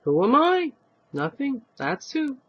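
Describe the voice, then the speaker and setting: A woman's voice: high-pitched, big pitch swings, takes it slowly, highs cut off, pitched above the performer's own voice, exaggerated voice. A woman voicing a stubborn, sardonic old husband with a Yiddish-inflected accent in a short story reading. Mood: aggrieved. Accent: Yiddish-inflected English. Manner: dry, rhetorical grumbling.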